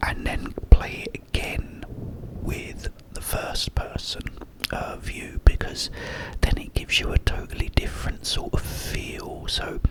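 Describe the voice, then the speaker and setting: A man whispering a rambling, gently enthusiastic ASMR chat in a breathy, hushed voice, close to the microphone. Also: slow pace, rough recording. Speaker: ASMR creator.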